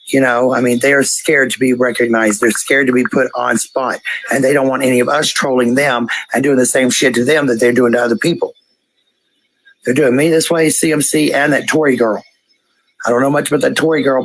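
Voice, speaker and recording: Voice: medium pitch, low-quality recording. A person ranting casually in a blunt, coarse, profane way, heard on a played-back voice clip.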